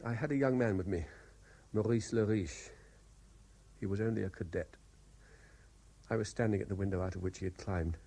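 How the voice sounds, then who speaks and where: An actor with a British accent playing a sceptical, worldly middle-aged lawyer in a 1970s radio drama, urbane and probing, sometimes stumbling into restarts. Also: male voice, on the low side, normal speed, pauses often, mellow, husky. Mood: reflective, uneasy.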